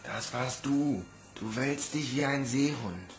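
A man's voice: mid-range voice, slow pace, natural voice. A young man playing a tall, protective, skeptical orphan boy in a German audio drama, blunt and dry. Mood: soothing. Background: music.

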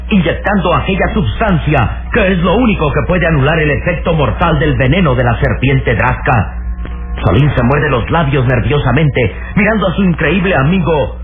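A man's voice: fairly high pitch, lively intonation, normal speed. A man narrating a radio serial in a solemn, suspenseful, emphatic dramatic style. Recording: tinny old radio recording, loud music.

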